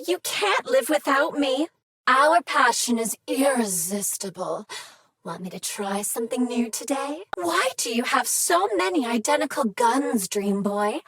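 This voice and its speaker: A woman's voice: fairly high pitch, expressive, measured pace, fairly bright tone, dry recording, exaggerated voice. An actress voicing a flirtatious female machine in a video game, purring and teasing. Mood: sultry. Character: flirty female machine, sultry vending unit. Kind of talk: video game character dialogue.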